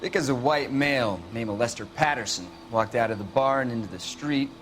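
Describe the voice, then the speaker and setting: A man's voice: mid-range voice, fairly quick, a bit bright, soft background music, lightly acted, low-quality recording. A man acting a matter-of-fact police patrolman. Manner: plain, factual, dryly wry. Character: matter-of-fact male uniformed patrolman reporting to a detective. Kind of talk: scripted crime drama acting.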